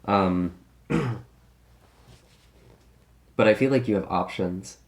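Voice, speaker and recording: A man giving a casual tarot reading, slightly raspy from a recent illness, musing and thinking aloud with many hedges and restarts. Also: low-pitched, takes it slowly. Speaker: tarot reader.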